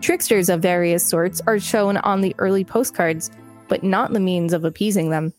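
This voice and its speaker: A woman's voice: lowish voice, moderate pace, darkish tone, soft background music. A woman co-hosting a podcast, reading an encyclopedia article aloud and adding casual commentary. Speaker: podcast co-host.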